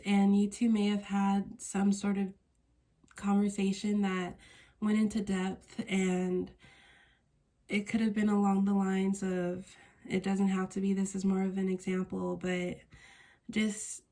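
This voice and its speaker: A stuffy-nosed woman hosts a casual tarot reading in a mellow, flat tone. She meanders, searching for words and revising herself mid-thought. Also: medium pitch. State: congested, stuffy nose. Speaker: tarot card reader.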